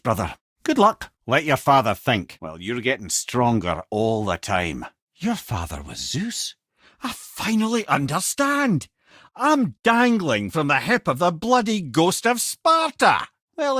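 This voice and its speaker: An actor playing a witty, learned old storyteller and guide in a video game, with a Scottish accent and a wry, erudite, theatrical way of talking. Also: male voice, mid-range voice, a bit bright, dry recording, studio quality. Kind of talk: video game character voice lines, storytelling and banter.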